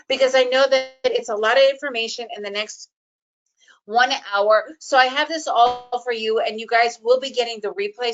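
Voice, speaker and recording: A Russian-born woman teaches in an online presentation, fast and chatty, often tagging lines with "right?". Her voice sits a bit high. The sound is dull and lo-fi. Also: a bit bright. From Soviet Union, raised in the United States. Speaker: trading educator presenting an online summit.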